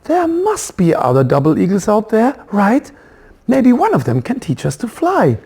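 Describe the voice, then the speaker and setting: A man's voice: high voice, wildly swooping pitch, moderate pace, darkish tone, slightly rough, voice pushed up, heavily acted. A man voicing an eager, hopeful young eaglet in a children's storybook. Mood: hopeful, excited. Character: young double-headed eaglet's eager, hopeful first head.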